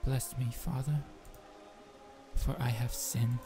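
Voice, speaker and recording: A man voicing a dazed, distressed young girl in a visual novel, with a halting, plaintive manner. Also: mid-range voice, flat delivery, warm tone, soft background music, close to an everyday voice. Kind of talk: amateur visual novel voice acting.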